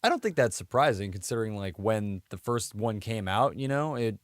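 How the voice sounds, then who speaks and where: A man's voice: fairly low pitch, sing-song, a little fast, dry recording, studio quality. A man co-hosting a podcast, talking casually about movies with loose, conversational phrasing. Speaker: podcast co-host.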